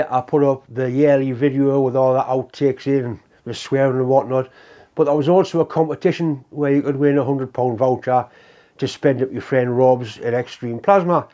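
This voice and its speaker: A man's voice: mid-range voice, warm tone, dull audio. A man, hosting a casual workshop vlog while full of a cold. He talks in a plain, blunt, chatty way. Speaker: workshop channel host. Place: home workshop.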